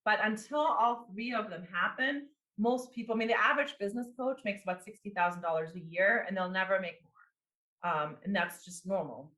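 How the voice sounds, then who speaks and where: A woman's voice: medium pitch, normal speed, sharp tone, roomy sound, rough recording. A woman in her forties, a business coach and marketing executive, talking casually in an interview with many fillers and restarts. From immigrant. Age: 40s.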